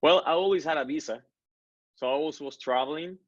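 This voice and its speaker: A man's voice: on the high side, a little fast, no echo. A man, a guest telling his story in a casual interview, earnest and often restarting his sentences. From Colombia. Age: 30s. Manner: earnest, many self-corrections and restarts. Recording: dull lo-fi dry recording.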